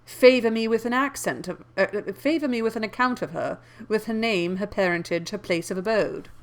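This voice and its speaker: Female narrator as angry gentleman, classic novel character, commanding, scornful, curt, defiant. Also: mid-range voice, a little fast, pitched above the performer's own voice, close to an everyday voice.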